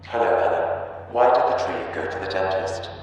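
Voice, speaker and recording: A performer voicing a newborn artificial intelligence in a video game, speaking in a stilted, measured way. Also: deep voice, creaky, darkish tone, a little hiss or hum. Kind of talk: scripted video game dialogue. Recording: echoey, distant, dull sound.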